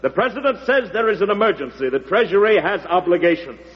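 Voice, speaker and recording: A man's voice: high voice, lively intonation, fast talker. An actor playing a pompous, fervent American congressman, declaiming like an orator in a 1940s radio drama. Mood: fiery oratory. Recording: muffled old radio broadcast.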